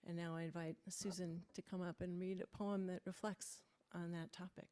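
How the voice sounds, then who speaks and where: A woman leading part of a worship service, reading a prepared reflection in a measured, deliberate way. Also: lowish voice, little pitch movement, dark tone, clean tone. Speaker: worship leader.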